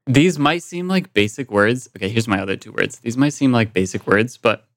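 A man's voice: medium pitch, moderate pace. Easygoing chat from a male podcaster. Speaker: podcast co-host. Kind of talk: casual group chat.

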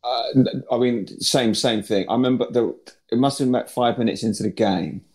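Ex-player guy rambling, lots of likes and ums, average pitch. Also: fairly even intonation, brisk, darkish tone. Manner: rambling, many fillers. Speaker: former player. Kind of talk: casual chat.

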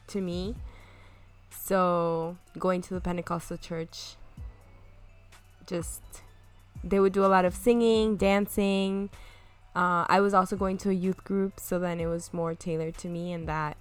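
A woman's voice: lowish voice, clean tone. A young woman from Miami, a podcast guest, talking in an earnest, reflective way in casual chat. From Miami, United States. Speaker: podcast guest, school counselor.

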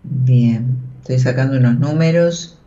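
A woman's voice: a bass voice, a little slow, warm tone. An Argentine woman hosting a live call-in tarot show, chatting informally and reassuringly with frequent tag questions. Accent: Argentine Spanish. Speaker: radio host and tarot reader. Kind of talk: live call-in advice chat. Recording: dull, band-limited audio.

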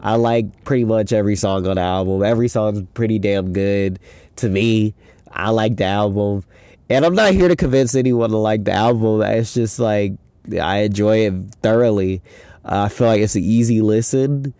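A man's voice: lowish voice, moderate pace, warm tone, clear, resonant tone, no room sound. A young man hosting a casual solo podcast, talking in a loose, rambling, slangy way with a stuffy nose from a head cold. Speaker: podcast host.

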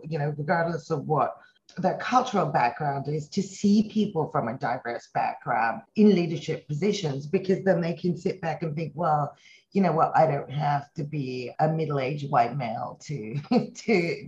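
A woman's voice: deep voice, normal speed, a little mellow. Fifties businesswoman from Hong Kong, interviewee, thoughtful and earnest; slightly dull recording. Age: 50s. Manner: earnest, reflective, speaks in long run-on thoughts. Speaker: company CEO, interview guest. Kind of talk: conversational interview answers.

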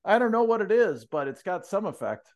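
A man's voice: high-pitched, very animated, fairly quick. A man co-hosting a casual discussion, with a big voice and a dry, wry humor. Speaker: podcast co-host.

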